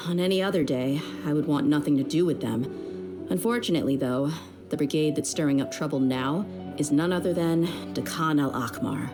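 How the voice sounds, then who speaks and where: A young desert mercenary woman with a low, grounded voice. She is loyal and easygoing, talking frankly and with plenty of slang, as in a video game. Music plays prominently behind her. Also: moderate pace. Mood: frank, serious.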